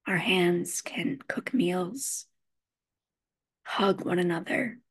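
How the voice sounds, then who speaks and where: A woman leading a guided meditation, giving gentle instructions in measured phrases with frequent pauses. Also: fairly low pitch, husky.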